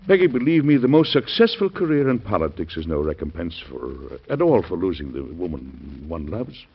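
An actor playing a forceful, grieving male president in a classic radio drama, speaking with impassioned command. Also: low-pitched, big pitch swings, normal speed, creaky, slightly rough, full character voice. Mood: indignant. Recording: dull vintage radio recording.

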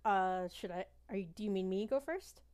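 A woman's voice: mid-range voice, normal speed, a little mellow, smooth. A woman in her thirties, a guest on a podcast, chatting casually. She rambles a little, pokes fun at herself and hesitates often with ums. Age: 30s. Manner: rambling, self-deprecating, hesitant with many ums. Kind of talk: casual chat.

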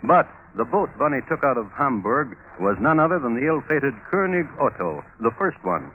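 An actor playing a droll, precise crime historian, narrating in a measured deadpan in old radio style. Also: male voice, medium pitch, a little hiss or hum, close to an everyday voice. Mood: wry deadpan. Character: droll, precise crime historian hosting true murder tales. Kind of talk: scripted 1950s radio narration. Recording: tinny old radio recording.

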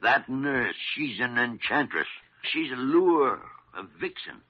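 An actor playing a gruff, irascible old senior doctor in 1940s radio drama, crusty and melodramatic, with a gravelly voice. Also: male voice, mid-range voice, lively intonation, takes it slowly, no room sound. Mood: agitated, brooding. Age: older. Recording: muffled vintage radio recording.

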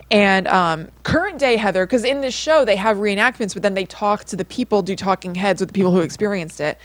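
A woman's voice: mid-range voice, no echo. A woman co-hosting a podcast, telling a story in a casual, conversational way with lots of informal filler phrasing. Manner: informal, peppers speech with like and kind of. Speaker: podcast co-host.

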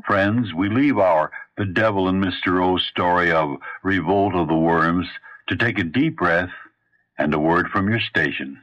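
A man's voice: low voice, unhurried. A man hosting a radio story series, announcing a break in a dramatic storyteller's style. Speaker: host and storyteller. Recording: muffled old radio recording.

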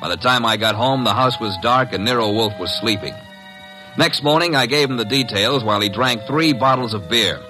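An American man playing a wisecracking, brisk detective's assistant in an old-time radio drama, wry and breezy. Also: mid-range voice, music in the background, natural voice, rough recording. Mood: matter-of-fact narrating.

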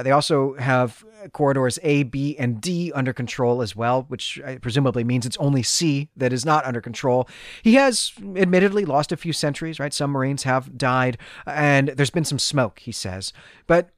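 Male podcast host, mid-pitched, wry and explanatory, conversational literary recap, very dry recording. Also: fairly bright tone, no room sound.

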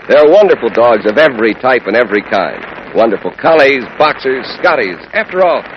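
A man delivering a chummy, folksy 1940s radio sponsor spot aimed at children. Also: mid-range voice. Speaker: sponsor announcer. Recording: muffled hissy old radio recording.

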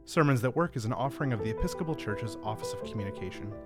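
A man's voice: mid-range voice, animated, rapid-fire. A man reading a scripted podcast outro as the announcer. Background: guitar music.

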